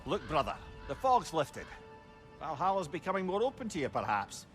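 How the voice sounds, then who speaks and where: A clever, wry old advisor for a video game, chatty and droll. The pitch sits a little high and swings very widely. Faint music runs underneath. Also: male voice, sing-song, normal speed. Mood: curious, awed. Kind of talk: cinematic video game acting.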